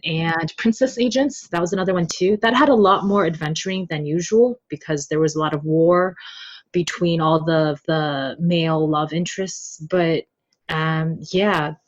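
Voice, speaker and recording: Low-pitched woman in slightly muffled audio. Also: normal speed. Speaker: podcast guest, web novel translator. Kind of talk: casual conversational interview.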